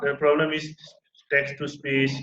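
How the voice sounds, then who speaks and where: A man's voice: a little high, completely flat delivery, measured pace, bright, forceful tone. A man talking shop as an instructor, hesitant and full of fillers. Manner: hesitant, many fillers and restarts. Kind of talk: casual technical conversation. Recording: roomy, dull lo-fi recording.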